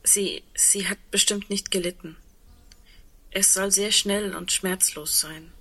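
Husky, lonely, sardonic woman. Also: medium pitch, steady tone, takes it slowly, bright, forceful tone, full character voice, rough recording. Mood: consoling, sad. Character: lonely, sardonic woman awaiting the end of the world. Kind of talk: intimate radio play dialogue.

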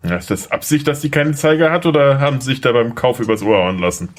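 Man playing an animated, indignant new lawyer. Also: mid-range voice, quick pace, close to an everyday voice. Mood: sarcastic. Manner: indignant, insistent. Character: exasperated young male lawyer, newly hired, indignant. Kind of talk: German audio drama acting.

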